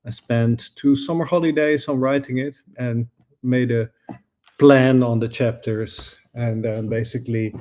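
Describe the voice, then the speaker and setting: Dutch-accented professor, mellow and thoughtful. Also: male voice, medium pitch, fairly even intonation, unhurried. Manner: thoughtful, searching for words with frequent fillers and restarts. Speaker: guest, professor and author. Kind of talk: conversational interview answers.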